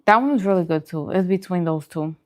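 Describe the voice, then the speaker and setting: A woman's voice: lowish voice, fairly quick, darkish tone. A woman hosting a mukbang, chatting casually to viewers between bites with murmured reactions. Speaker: mukbang host. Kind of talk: casual eating chat to viewers.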